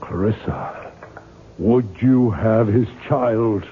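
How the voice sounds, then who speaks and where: A man's voice: on the low side, extremely slow. A male actor playing a weary, bitter novelist, curt and insistent, in 1970s radio drama style. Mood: anxious, insistent. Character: weary, bitter male novelist haunted by his own creation. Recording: muffled, noisy 1970s radio broadcast recording.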